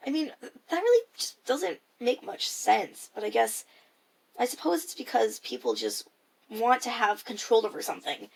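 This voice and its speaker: Teen female, high school age, with noticeable vocal fry, for a reflective personal audio log; casual, hesitant delivery. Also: low-quality recording. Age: teenager, high school freshman. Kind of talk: casual spoken audio diary, reflective monologue.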